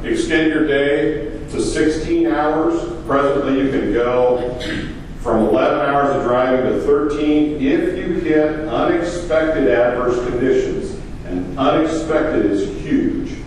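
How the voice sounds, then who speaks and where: A trucking company owner with a big voice, giving a plainspoken, filler-heavy talk at a conference. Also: male voice, on the high side, slow, a little mellow, slight background noise. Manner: plainspoken, loose, with many fillers. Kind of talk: conference presentation. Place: large echoey conference room. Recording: echoey hall, distant.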